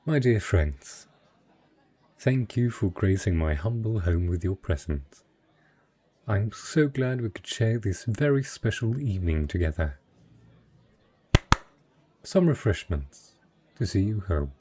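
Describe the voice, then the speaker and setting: Man acting a deep, husky, suave merchant lord. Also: animated, slow pace, pauses often, warm tone, heavily acted. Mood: gracious, formal. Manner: courtly, formal, smooth-talking flirtation. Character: wealthy male merchant patron, secretly a dragon, suave and possessive. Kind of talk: romantic fantasy audio roleplay addressed to the listener.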